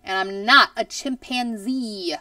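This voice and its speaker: A woman's voice: medium pitch, takes it slowly, pushed and bright, dry recording, recorded from a distance. A woman voicing earnest, protesting schoolchildren in a storybook, plainly and insistently.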